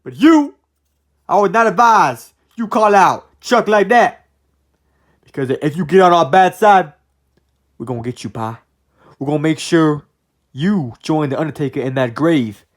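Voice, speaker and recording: A man's voice: fairly high pitch, expressive, unhurried, long pauses. A man doing a brash threatening gang boss. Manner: brash, taunting, shouty. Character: tough male gang leader, threatening and brash.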